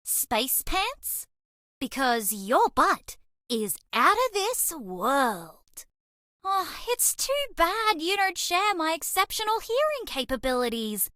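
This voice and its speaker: A woman's voice: very high-pitched, sing-song, takes it slowly, pushed and bright, dry recording, clean studio sound, exaggerated voice. A woman voicing a sweet, eager young female alien mouse in a dating game, bubbly, earnest and quirky. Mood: chirpy, playful. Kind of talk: dating game character voice lines.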